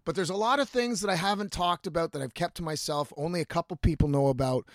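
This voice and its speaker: A man's voice: a little high, fast, no room sound, studio quality. A man in his thirties hosting a casual, confessional solo podcast, rambling candidly and swearing freely. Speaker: podcast host, singer-songwriter.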